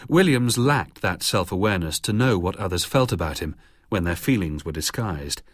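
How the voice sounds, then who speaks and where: A man narrating a biographical documentary in a measured, even reading. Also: deep voice, dry recording, studio quality.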